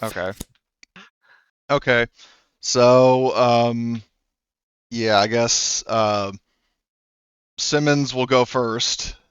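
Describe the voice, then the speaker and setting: A man acting as game master in a tabletop game, talking with frequent pauses and fillers. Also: mid-range voice, fairly even intonation, smooth, no echo, highs cut off. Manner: hesitant, lots of fillers and restarts.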